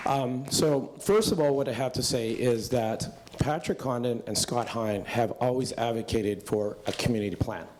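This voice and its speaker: A Canadian man, a mayoral candidate, speaking hoarsely with a sore voice, turning rousing and emphatic in a debate. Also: medium pitch, moderate pace, few pauses, noisy background. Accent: Canadian English. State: hoarse from illness. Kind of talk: political debate.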